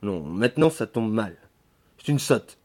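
A man's voice: lowish voice, sing-song, measured pace, a little mellow. A man voicing a sullen, penniless young male lodger in a novel reading. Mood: irritated.

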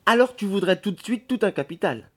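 A man's voice: mid-range voice, expressive, moderate pace, darkish tone, husky, voice pushed up. A man voicing a chatty, blunt, nagging country servant woman from a novel. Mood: teasing.

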